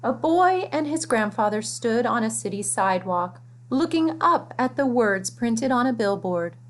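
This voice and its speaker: A woman reading a children's picture book aloud with an expressive, deliberate storytelling delivery. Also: a little high. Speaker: storybook reader.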